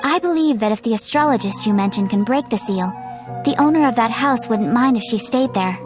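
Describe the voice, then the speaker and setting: A woman playing a composed, formal guild receptionist, courteous and measured, in a video game. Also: on the high side, lively intonation, lightly acted. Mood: composed, helpful. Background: music.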